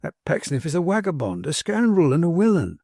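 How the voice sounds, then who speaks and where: A man's voice: a little high, sing-song, brisk, a little mellow, dry recording, clean studio sound, pitched above the performer's own voice. A man voicing a cheery, loyal young working-class manservant in a storybook, with bold, insistent, colloquial and ungrammatical speech. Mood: scornful, fervent. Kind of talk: dramatised audiobook character dialogue.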